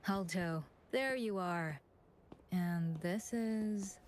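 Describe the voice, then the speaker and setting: An actress playing a composed, courteous chief administrator in a video game. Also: female voice, fairly low pitch, drawn-out words, darkish tone, a little hiss or hum.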